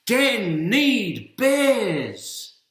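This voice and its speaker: A man voicing an eager, simple-minded bear from a picture book, speaking in short, blunt, drawn-out exclamations. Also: sits high, wildly swooping pitch, fairly bright tone, voice pushed up, full character voice. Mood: calling out. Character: eager, simple-spoken male bear proudly building his home. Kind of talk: picture-book character read aloud. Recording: roomy, echoing sound.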